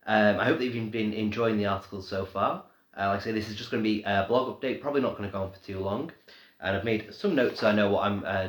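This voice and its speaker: Nasal-sounding man with a cold, echoey room. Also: lowish voice. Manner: rambling, many ums and restarts. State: ill, slightly nasal. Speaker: paranormal blogger. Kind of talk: casual live stream update.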